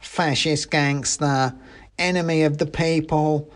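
A man's voice: fairly high pitch, steady tone, voice pushed up. A man voicing a fanatical revolutionary mouse in a storybook, declaiming every line like a political slogan. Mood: scornful. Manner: declamatory, sloganeering, drawn-out delivery. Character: fanatical revolutionary committee mouse in a red sock hat.

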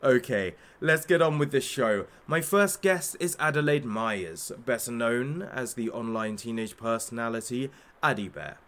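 A man's voice: medium pitch, expressive, unhurried, few pauses, a bit bright. An actor playing a flamboyant, menacing showman hostage taker in an audio drama, speaking with theatrical, smug mock politeness.

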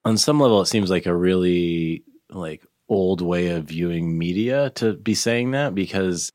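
A man's voice: low-pitched, measured pace, darkish tone, no room sound, studio quality. A man hosting an interview, musing aloud in a casual, rambling way with many fillers. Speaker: interviewer, host. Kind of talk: conversational interview.

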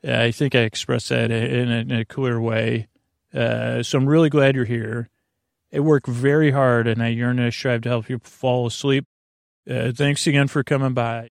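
A man speaks in a creaky, gravelly voice at a middling pitch, close and dry on the mic with music underneath. He rambles earnestly like a bedtime podcast host, halting and doubling back on his own sentences to soothe the listener toward sleep. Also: dry recording. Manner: meandering, halting, self-interrupting, earnest.

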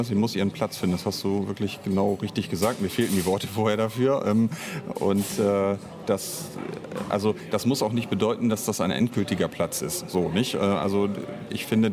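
Deep-voiced man explaining hesitantly, murmuring crowd behind. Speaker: interview guest, audio drama author and director. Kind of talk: casual interview talk.

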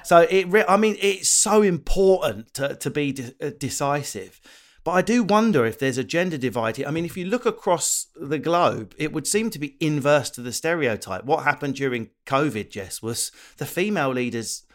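A man hosting a podcast, joking and swearing as he chats, sometimes slipping into quick silly voices. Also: fairly high pitch, expressive. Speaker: podcast host. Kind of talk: casual comedic podcast chat.

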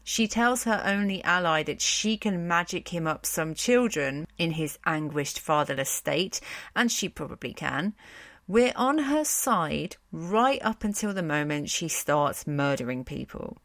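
Female podcast narrator, unhurried, opinionated and wry, in a dry studio-like sound. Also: deep voice, animated, a bit bright, dry recording. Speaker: podcast host. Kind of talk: informal scripted narration on mythology.

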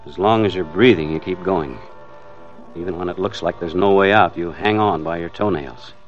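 A man's voice: sits low, moderate pace, close to an everyday voice. An American actor playing a weary, hard-boiled family man narrating his hostage ordeal in an old-time radio drama. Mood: grim, brooding. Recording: dull old radio recording with music.

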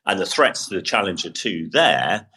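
A man's voice: deep voice, sing-song, normal speed, bright, forceful tone, slightly rough. A middle-aged or older man with a British accent, a former army officer explaining things as an expert commentator. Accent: British English. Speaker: military commentator, former tank commander.

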